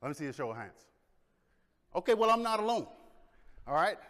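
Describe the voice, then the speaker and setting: Energetic man in reverberant hall. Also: high voice, fairly quick. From Bahamas. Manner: energetic, engages audience with questions and shows of hands. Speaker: conference speaker. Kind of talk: conference presentation. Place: large conference hall.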